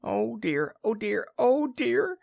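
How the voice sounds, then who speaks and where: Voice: mid-range voice, sing-song, slow, gravelly, a little mellow, pitched above the performer's own voice, exaggerated voice. A storybook reader voicing a small, timid male piglet who is anxious, breathless and stammering. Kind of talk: children's storybook character reading.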